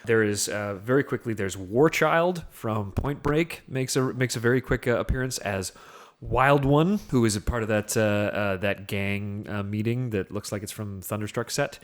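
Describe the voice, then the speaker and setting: A man with a slightly low voice talks casually about movies as a podcast co-host. He goes along haltingly, often repeating a word while he looks for the next. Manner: halting, repeats words as he searches. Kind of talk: casual film discussion.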